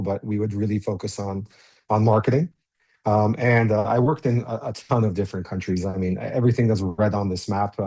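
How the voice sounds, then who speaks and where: A man with an American accent talks quickly and plainly, as if presenting over a video call. His voice sits low and sounds warm and mellow, direct and chatty rather than formal. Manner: fast, straightforward, chatty delivery. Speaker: guest speaker. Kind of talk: online business presentation.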